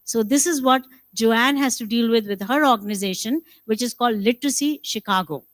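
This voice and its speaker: A woman with an Indian accent moderating a conference roundtable, summing up briskly and mixing Hindi with English. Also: on the high side, dry recording. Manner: brisk, rapid-fire, switches between Hindi and English.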